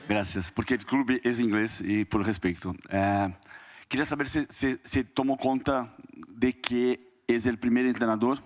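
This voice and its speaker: A Brazilian sports journalist, a man whose first language is Portuguese, asks his question in a middle-pitched voice. His Brazilian accent is present throughout, and he restarts words haltingly. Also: fairly even intonation. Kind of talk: asking a press conference question. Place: indoor press conference room.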